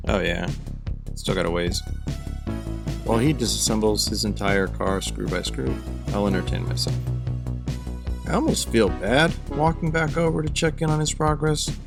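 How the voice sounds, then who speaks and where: A man's voice: on the low side, gravelly. A man in his forties narrating a casual DIY car vlog in a wry, jokey way. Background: background music.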